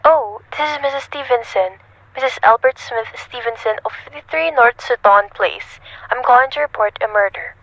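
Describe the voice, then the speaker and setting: A woman's voice: fairly high pitch, moderate pace, fairly bright tone, no echo, lo-fi sound. A woman playing an anxious, imperious, indignant married lady in an old-fashioned radio thriller, insistent and haughty. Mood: agitated, complaining. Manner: insistent, haughty, overwrought delivery.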